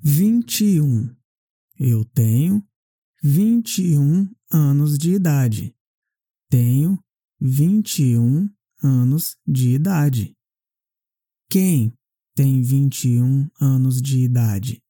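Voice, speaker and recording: A woman giving a language lesson as a repetitive question-and-answer drill, in deliberate, carefully separated phrases. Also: very deep voice, expressive, dark tone, dry recording, clean studio sound. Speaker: language teacher.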